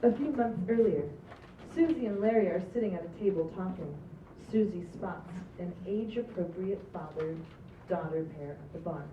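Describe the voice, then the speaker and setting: A woman's voice: lowish voice, normal speed. A woman reading stage directions as scripted narration at a table read. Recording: echoey, dull, noisy, distant room recording.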